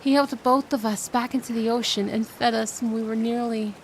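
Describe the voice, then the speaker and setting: A woman's voice: a little high, fairly even intonation, brisk, a little hiss or hum, close to an everyday voice. A woman playing a shy, kind-hearted mermaid in a roleplay audio drama, with a flustered, hesitant, stammering manner. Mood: earnest, sad.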